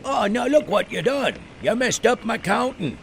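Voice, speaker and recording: A man playing an excitable, grumbling sea monkey deckhand in a comic audio drama. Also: extremely high pitch, moderate pace, gravelly, a little hiss or hum, heavily acted. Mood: flustered.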